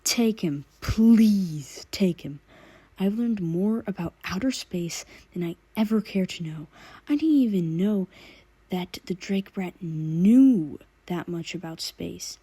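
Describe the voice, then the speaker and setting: A woman voicing an exasperated male hired thug who begs loudly and whinily in an audiobook story. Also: on the low side, lively intonation, slow pace, breathy edge, no echo, heavily acted. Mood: pleading.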